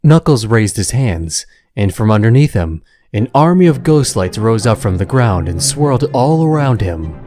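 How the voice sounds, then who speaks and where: A man's voice: on the low side, big pitch swings, normal speed, few pauses, mellow, faint music behind. A man giving scripted, dramatic narration of an adventure story as the narrator.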